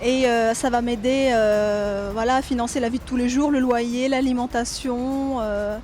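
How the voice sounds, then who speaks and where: High-voiced young woman, hesitant. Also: steady tone, normal speed, darkish tone, soft background music. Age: 20s. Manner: hesitant, with many fillers. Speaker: student. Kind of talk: interview answers.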